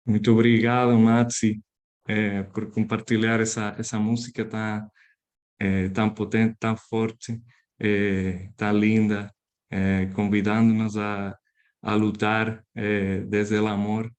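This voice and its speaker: A man moderating an online event, speaking haltingly with many fillers. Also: fairly low pitch, flat delivery, darkish tone.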